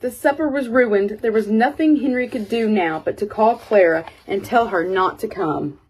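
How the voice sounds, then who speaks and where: A woman reading a children's picture book aloud as an expressive storyteller. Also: mid-range voice, normal speed, husky, rough recording.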